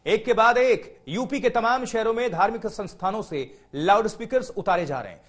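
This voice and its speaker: A man's voice: high voice, lively intonation, normal speed. A man anchoring a live television news bulletin, presenting and introducing reports.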